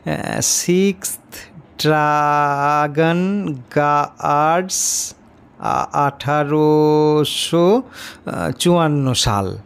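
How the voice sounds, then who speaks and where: A little schoolboy, acted by a male narrator reads aloud with great effort. The delivery is very slow and halting, breaking between words, in a storybook style. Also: on the high side, vocal fry, clean tone, exaggerated voice. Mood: laboured. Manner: halting, syllable-by-syllable reading. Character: small fourth-grade boy reading aloud haltingly, with great difficulty.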